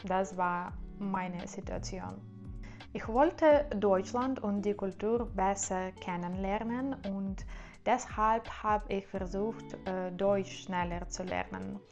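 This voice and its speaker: A woman's voice: medium pitch, takes it slowly, a little mellow, soft background music. A young woman whose native languages are Russian and Ukrainian, speaking with an accent in a casual vlog, careful and a little hesitant with fillers. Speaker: vlogger.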